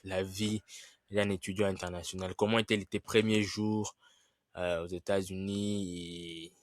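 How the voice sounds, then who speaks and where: A man's voice: deep voice, little pitch movement, unhurried. A man from Congo, co-hosting a podcast, asking questions with stammered restarts. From Democratic Republic of the Congo. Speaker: podcast co-host.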